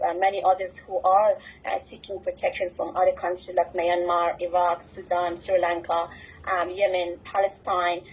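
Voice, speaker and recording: A woman from Afghanistan giving a presentation as a refugee advocate on an online call, speaking haltingly with frequent pauses mid-phrase. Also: lowish voice, breathy edge. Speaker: refugee advocate, network executive director. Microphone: computer on an online call. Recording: muffled lo-fi computer call audio.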